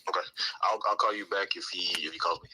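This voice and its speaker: A man calling in by phone to talk through an offer on a deal, relaxed and hesitant, with fillers. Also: sits low, quick pace, slightly rough, rough recording. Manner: laid-back, hesitant with fillers. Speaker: caller, wholesaling team member. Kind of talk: phone call. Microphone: speakerphone on a call.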